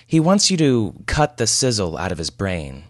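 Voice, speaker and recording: A man's voice: on the low side, huge pitch swings, moderate pace, no room sound, clean studio sound, voice pushed up. A man voicing a blunt, wry, flirtatious female receptionist in an audio story. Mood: incredulous.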